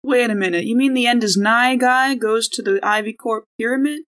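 A woman's voice: fairly high pitch, a little fast, a bit bright. A woman chatting casually and slangily as a player in a tabletop role-playing game.

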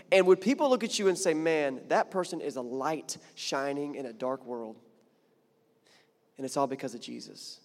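Conversational preacher man, echoey hall. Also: on the high side. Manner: conversational, rising to emphatic, repeats words. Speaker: pastor. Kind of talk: sermon.

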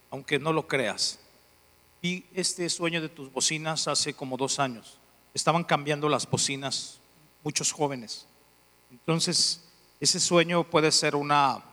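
A man's voice: fairly high pitch, bright, forceful tone. A Mexican man, a church administrator, giving an earnest testimony and repeating key phrases. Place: church hall. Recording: reverberant church hall.

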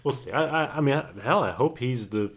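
A man's voice: mid-range voice, expressive, fairly quick, slightly rough. A young man co-hosting a casual sports podcast, rambling in a laid-back way. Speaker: podcast co-host. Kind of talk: casual sports chat and ad read.

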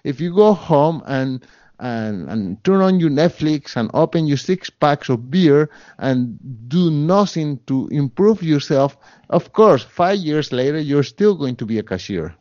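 A man's voice: fairly high pitch, animated, measured pace, mellow, no echo, muffled recording. A man with a Spanish accent, a guest in an interview, talking earnestly with many fillers and restarts. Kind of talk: interview conversation.

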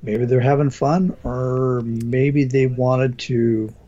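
A man's voice: mid-range voice, fairly even intonation, slow, mellow. A man hosting a casual conversation, asking questions while losing his voice. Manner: inquisitive, conversational. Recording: dull lo-fi sound.

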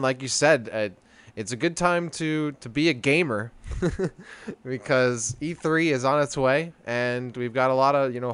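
A man's voice: medium pitch, fairly bright tone, smooth. A man in his late twenties co-hosting a gaming podcast, chatty and upbeat. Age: 20s. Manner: chatty and upbeat, quick to laugh. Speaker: podcast co-host. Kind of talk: casual gaming podcast chat.

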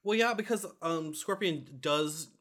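Bright-voiced man chatting about movies. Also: on the high side, unhurried. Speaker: podcast co-host reviewing a film. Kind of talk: casual podcast review chat.

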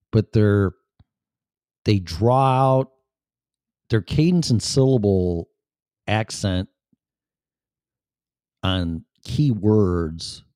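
A man's voice: low-pitched, warm tone, no echo, clean studio sound. A man with a Chicago accent hosting his live-stream show in a chatty, profane, self-deprecating ramble. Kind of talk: casual rambling monologue.

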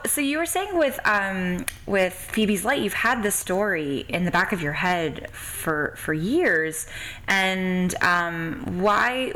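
A woman hosting a casual interview, speaking in a halting, conversational way. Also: lowish voice, fairly bright tone, low-quality recording. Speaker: podcast host, interviewer.

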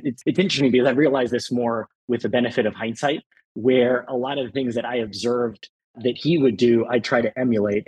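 A man's voice: medium pitch, a little mellow, breathy edge, rough recording. A man from El Salvador, a guest on an interview podcast, talking earnestly and with enthusiasm, often leaning on the word "really.". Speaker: private equity partner, guest.

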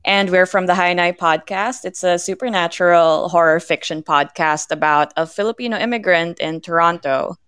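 A woman's voice: lowish voice, normal speed, hardly any pauses, a bit bright, clean tone, no room sound, clean studio sound. A woman co-host chatting casually as she introduces a podcast. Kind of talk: casual chat.

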